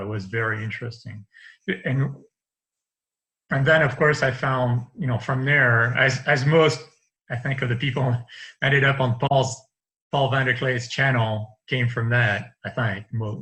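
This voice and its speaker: A man's voice: medium pitch, fairly even intonation, measured pace, sharp tone, poor audio. A man in his fifties with a light French accent, French his first language, talking casually as a guest on a video call from a home study, rambling with restarts and light laughs. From France. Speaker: interview guest. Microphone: video call webcam.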